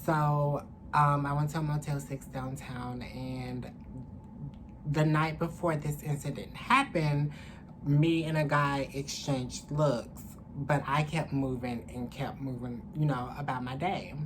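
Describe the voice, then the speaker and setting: A young woman with a low voice talks casually to the camera as a vlogger. She tells her story candidly, with slangy, salty language. She is in a small room, and there is faint noise behind her. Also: takes it slowly. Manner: candid, animated, profane slangy talk. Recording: clearly noisy room ambience.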